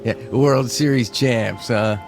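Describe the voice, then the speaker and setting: Breezy young American worker. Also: male voice, mid-range voice, slow pace, music in the background. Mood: breezy, skeptical. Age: 20s. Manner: casual, slangy, joking. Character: breezy twenty-two-year-old male worker, teasing and skeptical. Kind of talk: radio drama acting.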